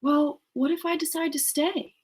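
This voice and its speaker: A woman's voice: sits high, fairly even intonation, normal speed, pitched above the performer's own voice, natural voice. A woman voicing a young shepherd boy from a novel, lovestruck, anxious and stubborn. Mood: reluctant.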